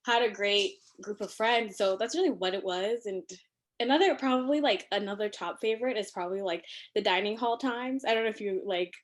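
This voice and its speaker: A woman's voice: mid-range voice, brisk. Chatty young woman from Ethiopia. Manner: chatty, animated, lots of fillers and laughter. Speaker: podcast guest. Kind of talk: casual conversation. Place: living room at home.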